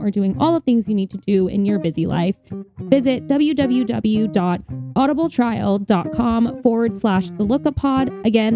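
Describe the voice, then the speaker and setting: A woman chatting informally as a podcast co-host, in a talkative, conversational way. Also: on the high side. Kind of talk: casual conversational podcast chat.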